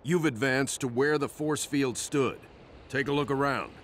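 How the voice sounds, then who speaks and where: A man playing a steady, commanding warship captain in a military game cutscene, giving clipped, authoritative orders. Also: medium pitch, measured pace, lightly acted. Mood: composed. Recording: loud vehicle and outdoor noise.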